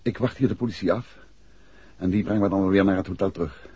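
An actor playing a decisive, commanding gentleman detective in a 1960s radio drama. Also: low-pitched, fast talker, breathy edge, dry recording. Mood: decisive, grave. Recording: dull vintage radio drama recording.

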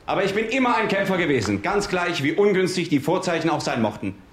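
An actor playing a polished, self-assured career politician delivering a measured, rhetorical victory speech in a radio drama. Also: male voice, high-pitched, very animated. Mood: triumphant. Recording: echoey hall with loud crowd noise.

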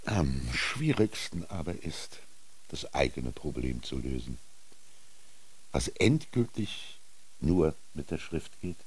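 An actor playing a solemn, brooding ancient Egyptian royal scribe in a radio drama, declaiming deliberately and theatrically. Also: male voice, a bass voice, very animated, husky, heavily acted. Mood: quiet, reflective. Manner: deliberate, declaiming, theatrical, swinging between murmur and proclamation. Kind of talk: literary radio drama monologue.

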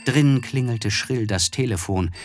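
An actor playing a reserved, observant young man who narrates his memories in a measured, literary radio play style. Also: medium pitch, close to an everyday voice. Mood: reflective. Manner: measured, reflective, hesitant in dialogue. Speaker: narrator and character. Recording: studio radio play with music. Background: music.

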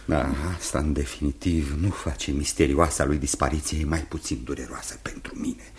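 An actor playing a grave, anguished uncle and formal music master in a radio drama, speaking in a measured, theatrical way. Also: male voice, very deep voice, fairly even intonation. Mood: pensive, pained. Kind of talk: Romanian radio theatre acting.

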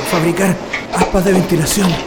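A resourceful, careful male prisoner with a Chilean accent in a radio play. His voice is high for a man and rings with long reverb, with music underneath. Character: resourceful, cautious male prisoner planning an escape tunnel. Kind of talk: radio drama acting.

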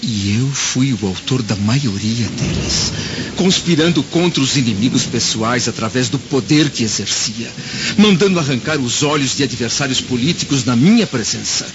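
A man's voice: mid-range voice, lively intonation, moderate pace, natural voice. Troubled Roman senator played by a man, middle register, grave and anguished radio-drama style, dull recording. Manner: solemn, anguished, theatrical recounting. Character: troubled Roman senator recounting a haunting vision of past life. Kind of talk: dramatic radio play acting. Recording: dull lo-fi audio with loud storm noise.

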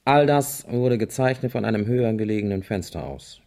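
An actor playing a wry, easygoing man in a German radio crime drama, thinking aloud methodically in a dry, deliberate way. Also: fairly low pitch, lively intonation, darkish tone. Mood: pondering.

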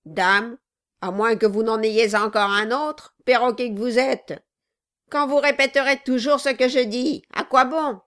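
A woman voicing a malicious male dwarf in an audiobook, loud, commanding and mocking. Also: fairly high pitch, a little slow. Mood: irritated sneering. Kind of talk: dramatized audiobook reading.

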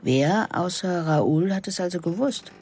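A woman's voice: on the low side, expressive, a little slow, darkish tone, a little hiss or hum. A woman playing a persistent police investigator in a German radio drama, asking controlled, pointed questions. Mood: probing.

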